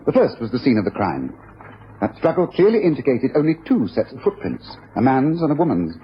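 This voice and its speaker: Brisk, self-assured male detective, period radio drama, lively intonation, dull recording. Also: medium pitch. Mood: explanatory, satisfied. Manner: decisive, declarative, explanatory. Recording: dull old radio recording, faint hiss.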